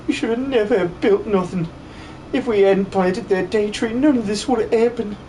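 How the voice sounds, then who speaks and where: A man's voice: sits high, moderate pace, dark tone, slight background noise, pitched above the performer's own voice, lightly acted. A man voicing a distraught young farm girl, a devoted apprentice in a fantasy game fan dub, pleading and stammering. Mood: anguished.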